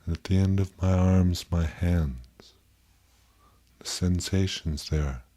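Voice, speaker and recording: Low, mellow male meditation guide. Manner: gentle, contemplative, pausing, self-questioning.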